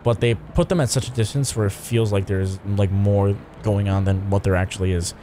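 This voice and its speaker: A man's voice: on the low side, steady tone, moderate pace, dark tone, a little hiss or hum. Tired young man rambling over a game. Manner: rambling, swears, frequent fillers. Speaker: streamer. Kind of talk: casual gameplay commentary.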